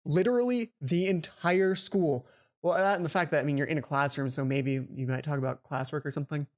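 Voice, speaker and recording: Lower-pitched woman narrating a game playthrough. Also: fairly quick. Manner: expressive reading with frequent ad-libbed asides. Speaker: let's player. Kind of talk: reading a visual novel aloud with casual commentary.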